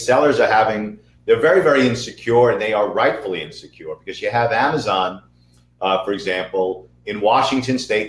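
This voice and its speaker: A man hosting a webinar interview, chatty, energetic and jokey. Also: fairly low pitch, echoey room, poor audio. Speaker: webinar host. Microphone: video call.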